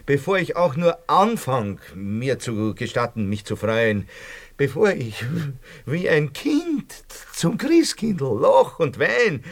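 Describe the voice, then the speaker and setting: A grumbling Viennese innkeeper in a radio drama. He is excitable and comically pompous, stammers over repeated words, and his pitch ranges widely. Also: slow, creaky, exaggerated voice. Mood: incredulous, hopeful. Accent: Viennese Austrian. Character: grumbling, excitable, put-upon Viennese tavern keeper. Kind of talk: radio play acting.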